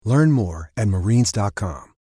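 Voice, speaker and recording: Husky grave male ad narrator. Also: lowish voice, expressive, unhurried, dark tone. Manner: grave, resolute. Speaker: recruitment ad narrator. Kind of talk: dramatic commercial narration.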